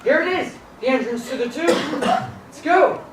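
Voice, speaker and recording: A brave tomb explorer acted by a young woman in a puppet show. The voice is somewhat high and dramatic, coming from far off in a noisy, very reverberant hall. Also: lively intonation, unhurried. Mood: determined. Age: teenage or young adult student. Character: brave adventurous tomb explorer named Tom. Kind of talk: live puppet show acting for children.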